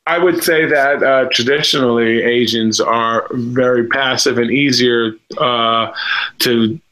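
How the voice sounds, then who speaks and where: A man in his thirties co-hosting a casual video-call stream, rambling and halting with many fillers. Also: medium pitch, fairly even intonation, bright, forceful tone, poor audio. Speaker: livestream co-host. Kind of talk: casual chat about reality TV contestants.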